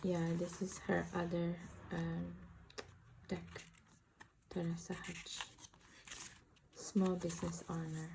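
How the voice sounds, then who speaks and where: A woman with an Indonesian accent talks slowly through a tarot deck in a casual, halting way. Her voice is fairly low with a gravelly fry, and there is faint background noise. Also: completely flat delivery, mellow. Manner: hesitant, halting, searching for words. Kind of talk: casual unboxing and flip-through commentary.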